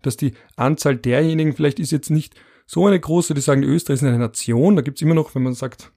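A man's voice: fairly high pitch, fast talker, warm tone. A man hosting a podcast, reading out survey figures and musing on them in a wry, conversational way. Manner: reads out statistics, musing and wry. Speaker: podcast host.

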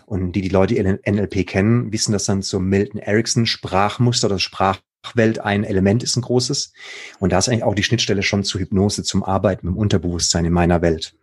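A man's voice: sits low, steady tone, a little fast. A man, a mental coach talking casually and enthusiastically in an interview. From Baden, Germany. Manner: enthusiastic, rambling, slangy.

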